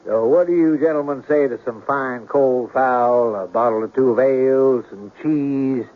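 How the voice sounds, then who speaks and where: An actor playing a courteous, eccentric gentleman writer in a 1970s radio drama, speaking formally and grandiloquently. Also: medium pitch, a little slow, lightly acted. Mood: hospitable. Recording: dull old radio broadcast.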